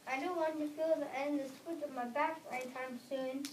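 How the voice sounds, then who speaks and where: High, echoey child girl as fearful farmhand. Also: steady tone, fairly quick, slight background noise, close to an everyday voice. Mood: tired, wary. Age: child, about 8 to 9. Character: tired, fearful enslaved farmhand wondering about freedom. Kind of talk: school readers theater, reading lines aloud. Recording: echoey room, distant, audience murmur.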